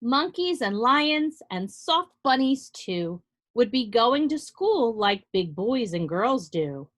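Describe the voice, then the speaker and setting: A woman preschool teacher reading to young children in an animated way, with simple explanations and questions spoken directly to them. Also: mid-range voice. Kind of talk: read-aloud to young children with asides and questions.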